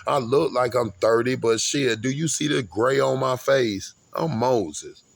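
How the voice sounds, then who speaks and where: A man's voice: mid-range voice, measured pace, creaky, dry recording. A man in his forties talking in a rambling, grandiose monologue, often repeating and restarting his words. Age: 40s. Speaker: vlogger.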